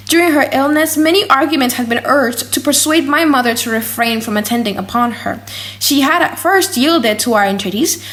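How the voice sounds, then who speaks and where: A woman reading a gothic novel as an audiobook in a dramatic, theatrical and highly expressive manner. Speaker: audiobook narrator.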